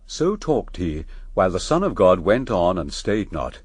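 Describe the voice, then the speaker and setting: A man's voice: low-pitched, big pitch swings, measured pace, slightly rough, no echo, exaggerated voice. A man narrating an epic poem for radio drama as a grave, lyrical storyteller.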